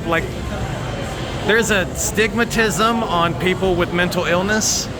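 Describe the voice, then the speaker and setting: An American man chatting casually and candidly to viewers, rambling and haltingly, with plenty of swearing. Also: sits high. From United States. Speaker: vlogger.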